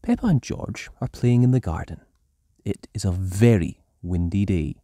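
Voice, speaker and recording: A storyteller hosting and narrating a children's story in an animated style. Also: sits low, moderate pace, no echo, clean studio sound. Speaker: storyteller and channel host.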